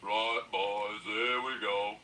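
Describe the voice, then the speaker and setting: A slow drawling bear. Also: mid-range voice, little pitch movement, bright, forceful tone. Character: slow-talking singing bear in a band. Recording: distant noisy archive tape.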